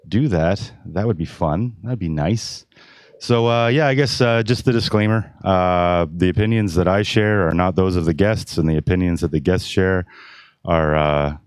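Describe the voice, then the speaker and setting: Man, podcast host, lowish voice, dry close mic, easygoing filler-heavy talk. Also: smooth, no room sound. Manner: relaxed, rambling with many fillers. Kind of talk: casual live-stream podcast chat. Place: indoor studio table. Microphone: close podcast microphone.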